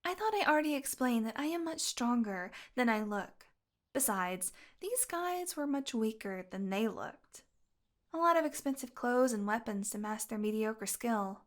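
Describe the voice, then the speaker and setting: A woman playing a possessive fantasy healer in an audio roleplay, tender and secretly bloodthirsty, speaking softly and deliberately. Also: on the high side, animated, close to an everyday voice. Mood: smug, menacing. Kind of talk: intimate audio roleplay monologue.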